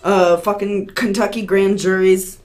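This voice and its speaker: A woman co-hosting a podcast, talking bluntly and profanely in a casual, animated way. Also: medium pitch, measured pace. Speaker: podcast co-host.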